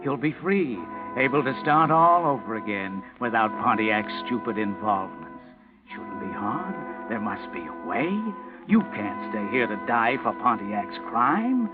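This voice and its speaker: An actor playing a taunting, urgent narrator in a 1940s radio crime mystery. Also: male voice, on the low side, animated, measured pace. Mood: taunting suspense. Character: ominous, taunting male narrator of a crime tale. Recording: tinny 1940s radio recording, music behind.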